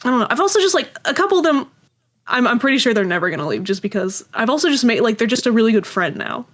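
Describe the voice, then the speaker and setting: A woman chatting casually as an interview guest, talking in a rambling, animated way. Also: fairly high pitch, fast. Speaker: guest, audio drama creator.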